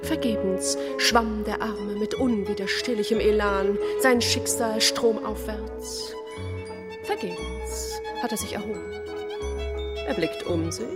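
An actress playing a solemn narrator in a literary radio play, speaking in a measured, poetic way. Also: female voice, mid-range voice, animated, loud music behind the voice. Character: solemn female narrator of an absurd fateful tale.

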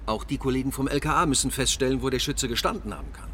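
A man's voice: medium pitch, fast, bright, forceful tone, slight background noise, lightly acted. An actor playing a senior male police bodyguard, explaining procedure matter-of-factly in a radio drama. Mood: businesslike. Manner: businesslike, explanatory, deferential to superior.

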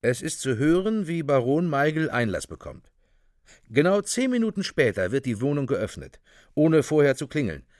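A man's voice: fairly high pitch, big pitch swings, normal speed, a little mellow, dry recording, studio quality. A man narrating a radio play from a script in a clipped, official, report-like manner.

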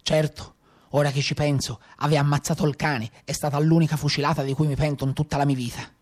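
An actor playing a rough, boastful Maremma brigand in a theatrical monologue, with a Tuscan accent and earthy, colloquial storytelling. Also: male voice, on the high side, little pitch movement, quick pace, lightly acted. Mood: wistful storytelling.

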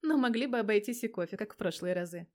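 A woman's voice: mid-range voice, expressive, fast, natural voice. A woman voicing a brash, foul-mouthed, suspicious petite policewoman in an audio story, blunt and slangy. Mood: wry, reluctant.

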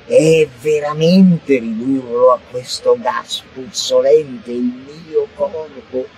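A man reciting a theatrical monologue, swinging from shouts to hushed murmurs. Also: mid-range voice, slow pace, low-quality recording. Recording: faint noise, radio broadcast.

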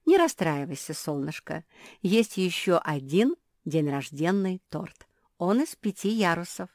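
A woman's voice: lowish voice, sing-song, measured pace, darkish tone, no room sound, clean studio sound. A woman voicing a fussy, tender mother dog in a storybook, coaxing and emphatic. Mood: comforting.

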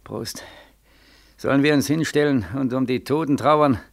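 An actor playing a bitter, tormented young soldier back from war in an old radio play, pressing questions insistently and repetitively. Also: male voice, medium pitch, normal speed, creaky, close to an everyday voice. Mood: bitter, drunken. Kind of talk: 1940s radio drama acting. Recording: 1940s radio recording.

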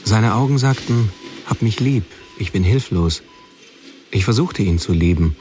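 Deep, terse narrator. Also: male voice, expressive, unhurried, darkish tone, faint music behind. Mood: terse, matter-of-fact. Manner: terse, matter-of-fact. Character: male narrator plainly recounting his troubled teenage years. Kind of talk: scripted first-person narration, radio drama.

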